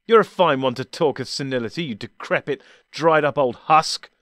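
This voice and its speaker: A man's voice: on the high side, expressive, measured pace, a bit bright, no echo, studio quality, voice pushed up. A man voicing an agitated, confrontational man who speaks in a raised, indignant, accusing voice.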